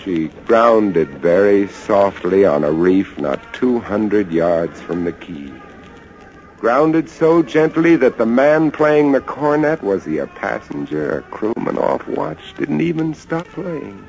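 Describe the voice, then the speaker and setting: Haunted lighthouse keeper: lowish voice, measured and halting, suspenseful old radio drama delivery. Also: male voice, lively intonation, creaky, heavily acted. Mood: tense, resigned. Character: haunted lighthouse keeper, a man, recounting a rat siege. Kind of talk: 1940s-50s radio drama narration. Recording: dull vintage radio recording, loud music behind.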